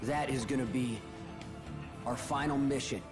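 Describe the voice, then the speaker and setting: A man's voice: mid-range voice, a little slow, close to an everyday voice. An actor playing a blunt, defiant male fighter with a challenging manner in a video game cutscene. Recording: loud background music.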